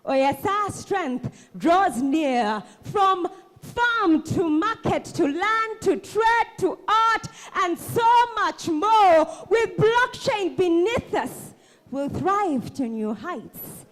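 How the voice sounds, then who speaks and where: A woman delivers theatrical spoken word in a very high, swooping voice. She goes extremely slowly, declaiming each phrase with passion and leaving dramatic pauses. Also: animated.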